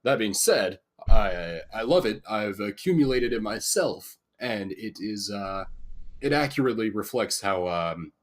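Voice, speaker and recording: A man's voice: on the low side, expressive, a little slow. A man, a guest streamer, chatting in a laid-back, self-deprecating way through a USB desk mic. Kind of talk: casual podcast chat.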